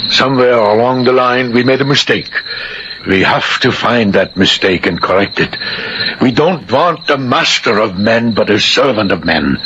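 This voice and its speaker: A man playing a determined, idealistic inventor in a 1950s radio drama, measured and grave. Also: medium pitch, lively intonation, sharp tone. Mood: resolute. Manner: measured, earnest. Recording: noisy, muffled old radio recording.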